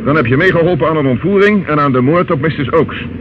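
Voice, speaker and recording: A man playing a brisk, determined police investigator in a radio play, dry and commanding. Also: on the high side, natural voice. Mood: firm, dry. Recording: muffled, noisy old radio recording.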